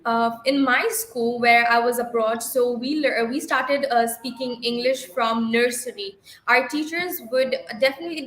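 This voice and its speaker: A woman's voice: a little high, a bit bright, reverberant, low-quality recording. A young woman from Bangladesh answering practice questions in a speaking exam, fluent but with small self-corrections. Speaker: IELTS practice candidate. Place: livestream.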